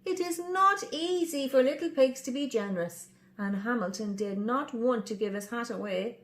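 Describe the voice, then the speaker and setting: A woman's voice: high voice, reverberant, a little hiss or hum. An Irish woman reading a children's story aloud expressively as a storyteller. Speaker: library storyteller.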